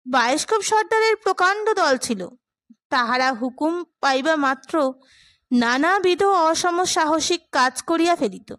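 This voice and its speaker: A woman's voice: high-pitched, a bit bright, no echo, clean studio sound. A woman narrating a literary short story as a scripted audiobook.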